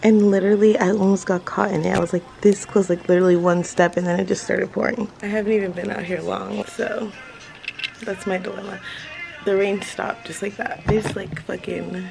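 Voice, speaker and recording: A woman's voice: mid-range voice, level tone, moderate pace, gravelly, roomy sound, music in the background, poor audio. A young American woman talking casually to her phone camera in a vacation house, chatty and swearing freely, with lots of "like.". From United States. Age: young adult. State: tired. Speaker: travel vlogger. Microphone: phone camera at arm's length.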